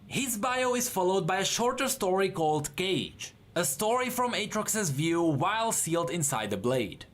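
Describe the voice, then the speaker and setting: A man's voice: high-pitched, sing-song, moderate pace. A man giving a dramatic scripted narration of fantasy lore.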